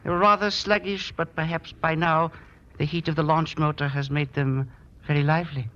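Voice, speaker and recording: An actor playing a polite, unruffled doctor, formal and slyly understated, in an old-time radio drama. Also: male voice, a little high, flat delivery, sharp tone, a little hiss or hum. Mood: calm, sly. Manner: formal, courteous, measured. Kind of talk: 1940s radio drama acting. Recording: muffled old radio recording.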